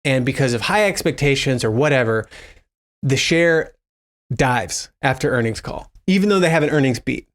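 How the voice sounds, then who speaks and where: A man giving casual, speculative commentary as a video host, thinking out loud and putting questions to his viewers. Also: mid-range voice, animated, normal speed. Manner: musing, thinks aloud, poses questions to viewers. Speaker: host of a stock investing video channel.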